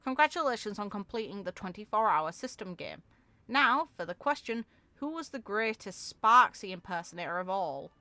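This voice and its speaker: A woman's voice: mid-range voice, animated, normal speed, bright, forceful tone, dry recording. A woman voicing a mischievous, showy young female streamer from a game story, theatrical and teasing. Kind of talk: game lore character read-aloud.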